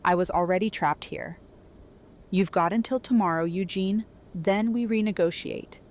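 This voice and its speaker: A woman's voice: medium pitch, normal speed, lightly acted. An actress playing a jaded, cutting woman who bargains hard, speaking in a blunt, confrontational way in an audio drama. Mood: demanding, unimpressed.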